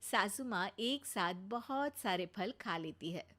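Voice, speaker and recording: A woman's voice: medium pitch, expressive, slow, fairly bright tone. A woman narrating a scripted cartoon family story as its storyteller.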